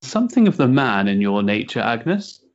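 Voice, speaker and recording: An actor playing a restless, sensitive young Victorian gentleman in a stage play, hesitant and politely mannered. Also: medium pitch, sing-song, normal speed, highs cut off, lightly acted. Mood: uneasy. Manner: hesitant, polite, mannered upper-class diction. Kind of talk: period stage-play acting.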